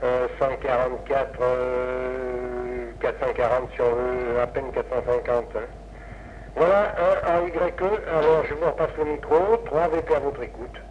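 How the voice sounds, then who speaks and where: A man's voice: medium pitch, fairly even intonation, measured pace. A man chatting as an amateur radio operator in a casual, rambling way, hesitating and repeating himself. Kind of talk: casual ham radio contact. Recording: noisy lo-fi 1960s amateur radio recording.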